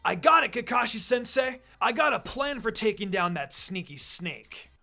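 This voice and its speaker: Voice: medium pitch, very animated, normal speed, vocal fry, bright, forceful tone, faint music behind, voice pushed up, full character voice. A reader playing an eager, scrappy boy ninja in a story reading. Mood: excited. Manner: brash, explaining eagerly.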